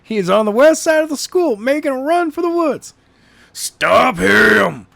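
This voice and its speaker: A man's voice: very high voice, very animated, measured pace, vocal fry, fairly bright tone, no echo, voice pushed up, heavily acted. A man voicing an urgent police officer who barks orders over the radio in an audiobook reading. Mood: urgent, commanding.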